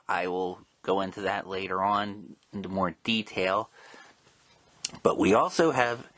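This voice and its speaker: A man's voice: lowish voice, fairly bright tone, no room sound. A man hosting a comics show, talking casually through introductions and announcements.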